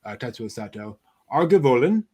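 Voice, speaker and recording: Casual male news-show host, mid-range voice, conversational and slightly halting. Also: mid-range voice, big pitch swings, darkish tone, husky.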